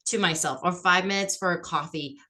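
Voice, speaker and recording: A woman hosts a relaxed chat in a bright, clear voice that sits a touch low. She talks in a friendly, chatty way and leans on fillers as she asks her guest questions. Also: a little fast. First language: not French. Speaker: host. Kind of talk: casual interview chat.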